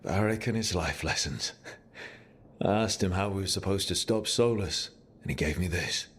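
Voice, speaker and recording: Low-voiced, wry fantasy game hero. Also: male voice, moderate pace, a bit bright, a little hiss or hum. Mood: proud, wry. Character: confident, kindly rebel assassin mage, young male hero. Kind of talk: video game dialogue and reflective monologue. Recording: game music behind the voice.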